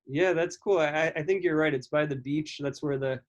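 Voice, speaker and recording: A man's voice: fairly high pitch, brisk, highs cut off. A man interviewing casually over a video call, often repeating and rephrasing himself mid-sentence. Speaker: podcast interviewer. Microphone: video call microphone.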